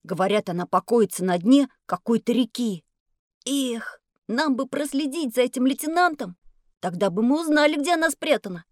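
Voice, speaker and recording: A woman voicing an excitable, adventurous schoolboy in an educational audio drama for children. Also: fairly high pitch, moderate pace, no echo, studio quality, natural voice. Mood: awed, wistful. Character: excitable, adventurous schoolboy dreaming of heroic deeds.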